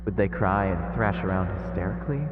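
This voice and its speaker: A phone-like, reverberant recording of a man with a low voice. He reads visual novel narration aloud in a casual streamer's way, and music plays behind him. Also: steady tone, a little fast. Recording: echoey, phone-like narrow sound, long reverb. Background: game music.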